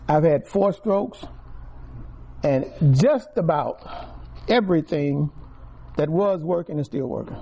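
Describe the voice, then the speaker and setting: A man's voice: on the high side, animated, slow, long pauses, dark tone, muffled recording, slight background noise. Emphatic sixty-something man preaching. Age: 60s. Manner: emphatic, repeats key words, rises in volume on stressed phrases. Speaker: speaker giving testimony to a congregation. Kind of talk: preaching testimony.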